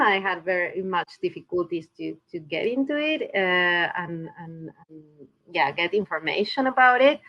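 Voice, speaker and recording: A woman's voice: fairly low pitch, highs cut off. A woman, speaking remotely as a guest in a podcast interview, thoughtful and often restarting as she searches for words. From Peru. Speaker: guest climate researcher. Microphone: video call.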